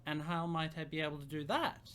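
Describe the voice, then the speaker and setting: A man's voice: fairly high pitch, fairly quick, a bit bright, smooth, close to an everyday voice. Smug, teasing young woman, as a man reads her. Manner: sarcastic and playful.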